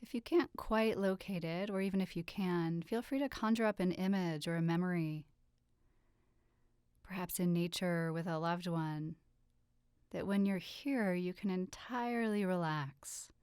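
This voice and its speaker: A woman leading a guided relaxation meditation with soothing, gentle instructions and unhurried pauses. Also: on the low side, clear, resonant tone, dry recording, studio quality. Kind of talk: guided meditation, yoga nidra.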